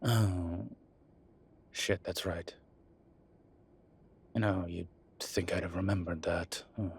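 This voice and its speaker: Deep, husky, evasive demon professor played by a man. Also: steady tone, full character voice. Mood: flustered, evasive. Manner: halting, defensive, muttering asides. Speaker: voice actor. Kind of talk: audio roleplay acting, one-sided dialogue.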